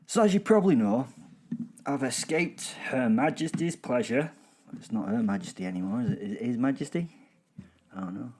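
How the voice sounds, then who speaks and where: A man's voice: medium pitch, lively intonation, moderate pace, a little mellow, slightly rough. A man with a British accent voicing a gleeful, boastful werewolf killer, telling his story in a chatty, rambling way with darkly humorous asides. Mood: wry, smug. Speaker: narrator and host of a horror audio serial. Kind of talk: chatty first-person dramatic storytelling.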